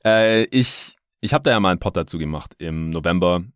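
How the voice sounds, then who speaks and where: Deep-voiced man, casual sports podcaster. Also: expressive, brisk, clear, resonant tone, no room sound, clean studio sound. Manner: colloquial, explanatory, peppered with English sports jargon. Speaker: basketball podcast host. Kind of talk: casual podcast talk with analysis.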